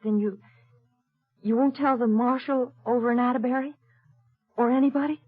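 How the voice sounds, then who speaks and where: An American actress playing an anxious young frontier wife in a radio western, earnest and pleading. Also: female voice, on the high side, fairly even intonation, normal speed, breathy edge, no echo, soft background music. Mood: hopeful, grateful. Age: young adult. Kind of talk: 1950s radio western acting. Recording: dry, tinny old radio recording.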